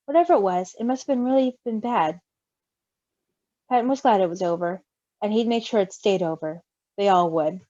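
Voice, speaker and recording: A woman reading fan fiction and chatting casually, with a giggly, expressive manner. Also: mid-range voice, normal speed, long pauses, no echo, lo-fi sound. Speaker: story reader and co-reactor.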